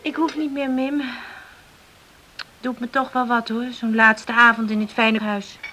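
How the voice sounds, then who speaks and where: An actress playing a tender, sentimental young farm daughter in a Dutch radio drama. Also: female voice, a little high, flat delivery, a little slow, pushed and bright, slight background noise. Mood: wistful.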